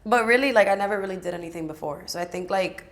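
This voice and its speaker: A raspy-voiced woman in her twenties, chatting casually as a guest and filling her talk with "like". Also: mid-range voice, fast talker. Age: 20s. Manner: chatty, many fillers like "like". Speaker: guest, aspiring singer and rapper. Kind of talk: casual sit-down chat.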